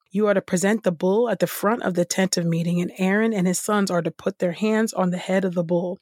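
A woman reading scripture aloud from a text in a measured, formal way as a podcast host. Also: fairly low pitch, a little mellow, dry recording, studio quality.

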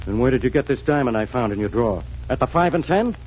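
A man playing a gruff, suspicious, hard-nosed police inspector with a bossy, sarcastic American delivery in 1940s radio drama style. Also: mid-range voice, animated, fast, a little mellow, natural voice. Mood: sarcastic, accusing. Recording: muffled, noisy 1940s radio recording.

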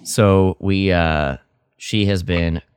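A man's voice: low-pitched, fairly even intonation, very slow, clear, resonant tone, dry recording, clean studio sound. A man co-hosting a podcast in casual chat, talking with enthusiasm and emphasis. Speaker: podcast co-host. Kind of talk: casual chat about a radio drama.

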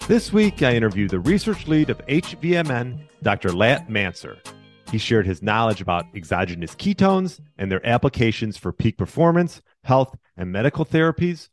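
A man's voice: mid-range voice, big pitch swings, moderate pace, soft background music. A man hosting a podcast, reading an upbeat scripted intro and then asking interview questions. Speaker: podcast host.

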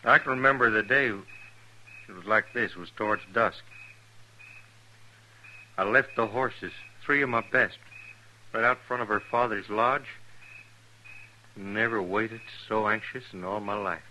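A man's voice: fairly low pitch, a little slow, long pauses, pushed and bright. A man playing a weary, defiant army scout on the run in a 1950s radio western, terse and brooding, with rustic speech. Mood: wistful, remembering. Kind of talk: 1950s radio drama acting. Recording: noisy vintage 1950s radio broadcast recording.

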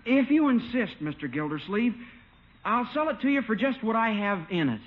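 A man's voice: sits high, big pitch swings, normal speed. An actor playing a modest, polite young American man, hesitant and deferential, in a 1950s radio comedy. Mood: earnest, grateful. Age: young adult. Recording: muffled 1950 radio recording.

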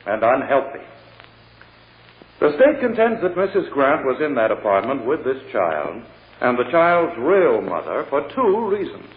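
A man acting a sarcastic, oratorical prosecutor addressing a jury in a 1940s-style radio drama. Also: mid-range voice. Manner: oratorical, emphatic, insinuating, dramatic pauses. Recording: tinny, echoey, noisy old radio recording.

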